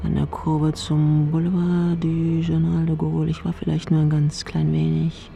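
A woman's voice: sits low, completely flat delivery, gravelly, warm tone. An older woman playing a frail, eccentric elderly mother in a radio play, nostalgic and imperious. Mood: dreamy, nostalgic. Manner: slurred, drowsy, halting recollection.

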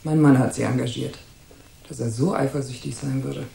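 A woman's voice: extremely low pitch, fairly even intonation, moderate pace, vocal fry, warm tone, slight background noise, heavily acted. An actress playing a haughty, suspicious and scornful married woman in a German radio crime drama. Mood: scornful, accusing. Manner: disdainful, imperious, guarded. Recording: roomy echo.

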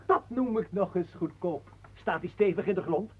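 An actor playing a sly, teasing gentleman showman in a radio drama, theatrical, singsong and mock-courteous. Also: sits high, normal speed, breathy edge. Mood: businesslike, sly.

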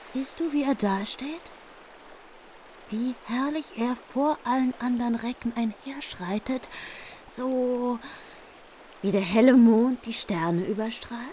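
A woman's voice: a little high, slow, mellow, slight background noise. A woman playing a proud, defiant noblewoman of legend in a radio play, with formal, poetic speech. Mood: adoring, proud.

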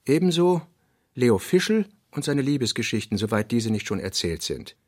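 Husky, animated man reading notes. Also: mid-range voice, normal speed. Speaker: reader of author's working notes. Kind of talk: scripted reading of terse notes for radio drama.

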